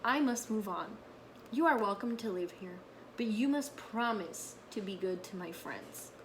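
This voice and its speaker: A woman voicing a polite, eager male hermit crab from a children's storybook, expressive and earnest. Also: medium pitch, unhurried, a little hiss or hum, lightly acted, poor audio.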